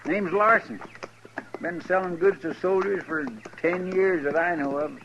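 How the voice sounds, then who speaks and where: An actor playing a chatty, nosy American sidekick to a marshal in a 1950s radio western, with a folksy drawl. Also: male voice, high-pitched, unhurried. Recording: muffled, hissy vintage radio recording.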